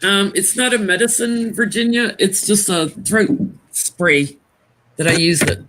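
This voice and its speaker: Loud-voiced chatty tarot reader. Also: male voice, high voice, a little hiss or hum, low-quality recording. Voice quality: naturally loud voice. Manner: chatty and rambling, quick to laugh. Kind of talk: casual live stream chat. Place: home. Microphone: laptop, sitting back from it.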